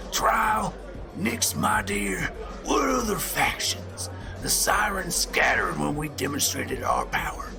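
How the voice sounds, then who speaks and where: Guy playing a low gravelly pack alpha villain, slow and sneering, swings up and down a lot, music under it. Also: very animated, heavily acted. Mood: gloating. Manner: gloating, mocking, drops into hissed whispers. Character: menacing male shifter pack alpha, scornful. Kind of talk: audio drama acting.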